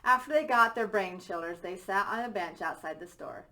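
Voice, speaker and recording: Bright-voiced woman reading a children's story. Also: medium pitch, animated, moderate pace, low-quality recording. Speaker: teacher.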